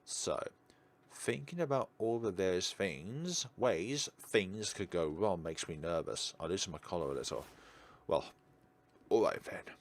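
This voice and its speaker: A man's voice: sits low, expressive, a little slow. A man doing let's play commentary, reading visual novel text aloud expressively and dropping in chatty asides. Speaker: gaming video host. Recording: music behind the voice. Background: game music.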